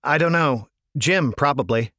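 A man's voice: fairly high pitch, a little slow, sharp tone, no room sound, clean studio sound, pitched above the performer's own voice. A man voicing a blunt, sardonic teenage gang member in an audiobook. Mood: matter-of-fact. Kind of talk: audiobook character dialogue.

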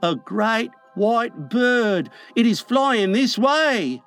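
A man doing high-pitched, excitable little boys. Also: slow pace, soft background music, exaggerated voice. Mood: awestruck. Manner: eager, exclamatory. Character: excitable young lost boys, breathless and wide-eyed. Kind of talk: storybook character acting.

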